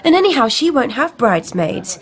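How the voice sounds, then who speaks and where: Teenage girl, youngest daughter, fairly high voice, earnest, eager, radio play. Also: sing-song, brisk. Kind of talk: period radio drama acting.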